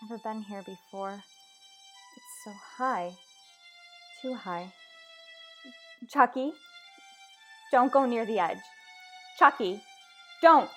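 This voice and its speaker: A woman playing a frightened, protective mother in a melodramatic radio drama, pleading haltingly and in anguish. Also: fairly high pitch, fairly bright tone, soft background music. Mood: dreamy, uneasy. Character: frightened, protective mother crying out in troubled sleep. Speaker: voice actor.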